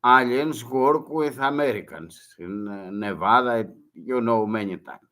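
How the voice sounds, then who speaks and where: Slow-talking older Greek man, broken English. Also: mid-range voice, sharp tone. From Greece. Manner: halting broken English, repeats words. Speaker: retired merchant mariner. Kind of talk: monologue.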